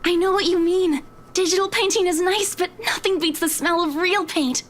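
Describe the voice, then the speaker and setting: A woman's voice: extremely high pitch, fairly even intonation, moderate pace, background noise. A woman playing a nervous, chatty, sweet young female office worker in a visual novel game, hesitant and rambling. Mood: eager.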